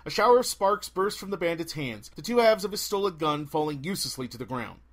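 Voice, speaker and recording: Male audiobook reader, lively melodic range, lightish pitch, expressive scripted fantasy narration. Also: quick pace.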